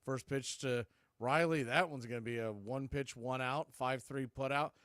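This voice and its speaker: A man's voice: medium pitch, normal speed, fairly bright tone, smooth, no echo, clean studio sound. A man doing live radio baseball commentary in clipped, conversational phrases, hosting the play-by-play.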